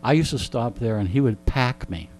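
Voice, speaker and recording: A man's voice: mid-range voice, expressive, normal speed, darkish tone, a little hiss or hum. A man who has hosted a radio show, chatting casually as an interview guest and telling humorous stories in a wry, joking, folksy way. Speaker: radio host being interviewed.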